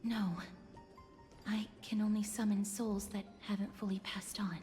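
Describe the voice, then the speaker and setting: A woman voicing a solemn, gentle young spirit medium in a video game, hushed, measured and formal. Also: medium pitch, flat delivery, natural voice. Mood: solemn, reverent. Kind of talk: dramatic video game voice acting. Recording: game dialogue over music.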